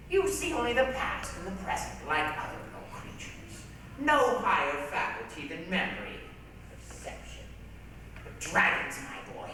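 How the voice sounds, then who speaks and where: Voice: medium pitch, sharp tone, full character voice. Performer as an imperious old dragon, swooping and echoing. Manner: condescending, dismissive, dramatically emphatic. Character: ancient, imperious, world-weary male dragon, mocking and boastful. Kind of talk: theatrical readers' theatre performance. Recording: echoey hall from far off, faint noise.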